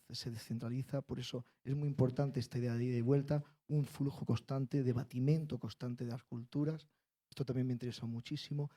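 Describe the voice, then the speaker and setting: A man's voice: medium pitch, mellow. A man from the flamenco world giving a lecture-style panel talk, intellectual and emphatic, repeating key phrases. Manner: intellectual, emphatic repetitions, builds arguments. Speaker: panel speaker, flamenco researcher.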